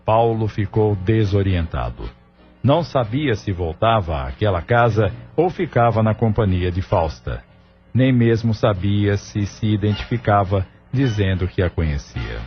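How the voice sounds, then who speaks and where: A man's voice: lowish voice, lively intonation, measured pace. A man reading scripted narration for an audio drama as its narrator. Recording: muffled studio narration over music.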